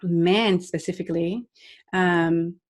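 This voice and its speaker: A woman's voice: lowish voice, fairly even intonation, slow. A woman, a life coach talking earnestly and reflectively as a podcast guest. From Puerto Rico. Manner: earnest, reflective, with frequent restarts and laughs. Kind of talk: podcast interview.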